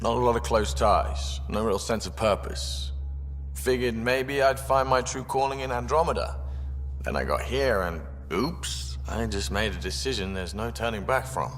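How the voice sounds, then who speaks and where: An actor playing a laid-back, wry starship engineer in a video game, talking casually in clipped phrases. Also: male voice, medium pitch, moderate pace, a bit bright, close to an everyday voice. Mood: easygoing, candid. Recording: long hall reverb with faint music.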